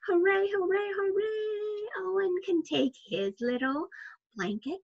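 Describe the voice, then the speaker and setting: A middle-aged woman reading a children's picture book aloud in an expressive, deliberate storytelling manner. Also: very high voice, dry recording. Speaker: librarian storytime reader. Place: small indoor room with bookshelves. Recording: dull, lo-fi webcam audio.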